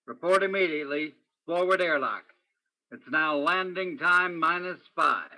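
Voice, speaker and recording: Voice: medium pitch, unhurried, bright, forceful tone. An actor in a science fiction radio play voicing a spaceship crewman who makes clipped, formal announcements. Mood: official, urgent. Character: brisk crewman paging over a spaceship intercom. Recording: clearly noisy recording with clicks.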